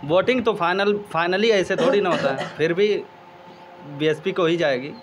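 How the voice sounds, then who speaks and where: A man's voice: sits high, moderate pace, over music, poor audio. A young male student answering outdoors, hesitant and searching for words. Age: young adult. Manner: hesitant, many fillers and restarts. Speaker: law student interviewee. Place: outdoors in a village.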